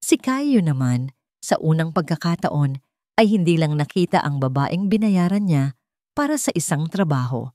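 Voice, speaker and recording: A woman's voice: sits low, a little mellow, no room sound, clean studio sound. A woman giving a scripted, dramatic narration of a sentimental love story.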